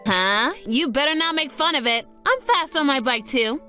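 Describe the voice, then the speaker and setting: A woman's voice: high voice, expressive, moderate pace, bright, forceful tone. A woman voicing a brash teenage wannabe rebel girl in an anime-style romcom, secretly sweet, her bravado slipping into bashful stammers. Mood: mocking bravado. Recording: music under the voice. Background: background music.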